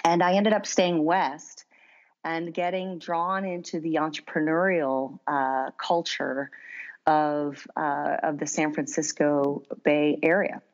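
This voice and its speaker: American woman guest, low voice, friendly storyteller, kinda dull audio. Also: fairly even intonation, takes it slowly. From United States. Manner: friendly storyteller, laughs often, some fillers. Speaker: guest, winery founder. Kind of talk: interview conversation.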